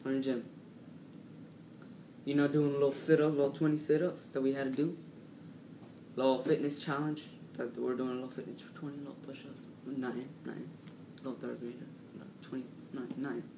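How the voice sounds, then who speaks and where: A man telling a casual, animated story in slang, repeating himself and acting out what people said. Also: medium pitch, brisk. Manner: slangy, repetitive, acts out quotes. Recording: roomy, distant mic, clearly noisy.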